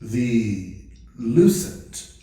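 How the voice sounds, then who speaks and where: A man's voice: mid-range voice, animated, mellow. Man playing a solemn, echoing clockwork priest. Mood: kindly, solemn. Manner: measured, formal, solemn. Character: calm, priestly counsellor of clockwork race, subtly menacing. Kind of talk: fantasy audio drama. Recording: cavernous echo, distant from mic.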